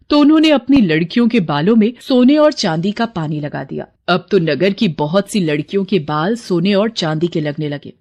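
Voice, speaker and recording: A woman's voice: lowish voice, darkish tone. A woman narrator reading a scripted fairy tale in storybook style.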